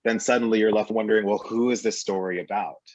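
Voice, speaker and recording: A man's voice: fairly low pitch, fairly even intonation, fast talker, dry recording. A man speaking as a guest on a panel, analysing a play and building his points in emphatic parallel phrases.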